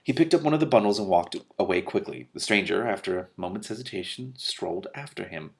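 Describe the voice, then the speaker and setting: A man hosting an expressive read-aloud of a novel, breaking off for casual, candid reactions. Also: fairly low pitch, quick pace, vocal fry. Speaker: host reading a novel aloud with commentary.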